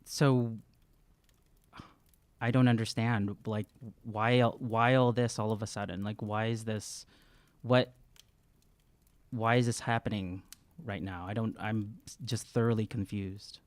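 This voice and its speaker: A man in his thirties plays a tabletop role-playing game, improvising dialogue and description. He has a fairly low voice and an earnest, halting way of talking, recorded close with a dry sound. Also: little pitch movement, no room sound. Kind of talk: improvised in-character role-play and casual description. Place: small room, indoors. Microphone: close studio microphone on stand.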